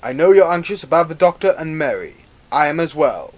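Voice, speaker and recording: Man playing a higher-voiced, decisive rabbit captain. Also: big pitch swings, muffled recording, slight background noise. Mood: firm, concerned. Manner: authoritative, measured. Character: calm, decisive male rabbit starship captain. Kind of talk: scripted audio drama acting.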